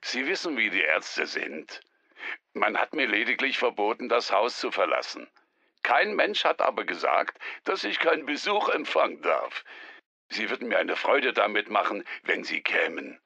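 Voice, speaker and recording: Nervous professor, gravelly, sharp tone, lively pitch, dry studio sound, radio play. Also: male voice, normal speed, no echo, lo-fi sound, full character voice. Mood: tense, urging. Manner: strained, trying to sound calm. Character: nervous male professor on the phone.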